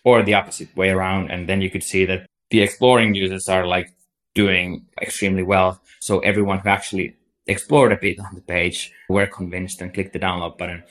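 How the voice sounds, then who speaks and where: A man's voice: sits low, level tone, brisk, a bit bright. A man from Finland with a Finnish accent, a guest on a podcast interview, explaining at length with many "like" and "so" fillers. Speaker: agency CEO, guest.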